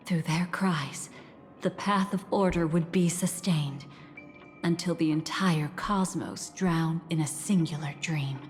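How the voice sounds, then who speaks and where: An actress playing a calm, mysterious woman who guides the listener through past memories in a composed, reflective way. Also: mid-range voice, close to an everyday voice. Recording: reverberant game audio with soft music.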